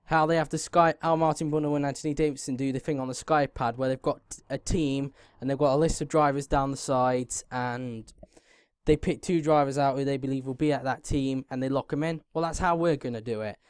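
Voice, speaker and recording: A young man with a sore throat and a croaky voice, hosting his own channel and chatting casually to viewers. He keeps clearing his throat and fills pauses with ums. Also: mid-range voice, steady tone, no echo, studio quality. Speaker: YouTube racing channel host.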